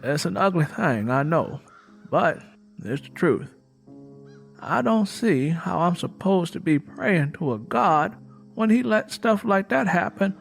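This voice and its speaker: A man's voice: on the high side, gravelly. A bitter, mean-tempered Southern woman played by a man speaks in a folksy, emphatic drawl. She tells things like an old family storyteller, hard and doubting, in an audiobook drama with faint music. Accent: American South.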